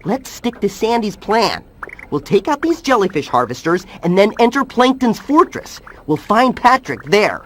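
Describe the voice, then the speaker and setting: A woman voicing a calm, determined young hero and team leader in a cartoon video game, reassuring and matter-of-fact. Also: medium pitch, normal speed.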